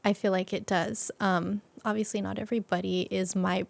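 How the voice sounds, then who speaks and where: A woman's voice: medium pitch, little pitch movement, moderate pace, creaky. A woman speaking as an interview guest in a casual, reflective conversation, explaining informally.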